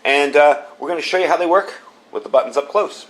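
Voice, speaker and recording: A man's voice: fairly high pitch, fairly quick, a bit bright, poor audio. Casual man reviewing gear. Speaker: product reviewer and channel host. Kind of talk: casual hands-on product review vlog.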